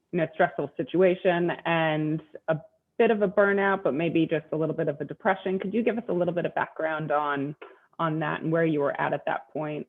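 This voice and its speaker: A woman from the United States hosting a podcast interview, asking chatty questions with many fillers. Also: low voice. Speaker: podcast host. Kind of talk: conversational interview. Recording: tinny phone-like line.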